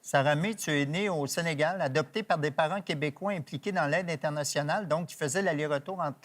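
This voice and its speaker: Animatrice à la voix très grave. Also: female voice, low-pitched. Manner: clear, measured introductions. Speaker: talk show host. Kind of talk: TV interview questions.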